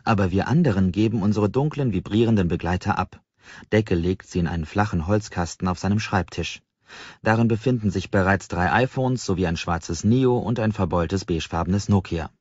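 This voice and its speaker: A man's voice: sits low, fairly quick, darkish tone, breathy edge. A man narrating a novel as an audiobook in a scripted storytelling style. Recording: slightly dull, roomy sound.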